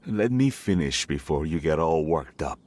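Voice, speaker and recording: A man voicing a stern but softhearted middle-aged father, gruff and halting, in a video game. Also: very deep voice, animated, slight background noise. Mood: stern, softening. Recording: music behind.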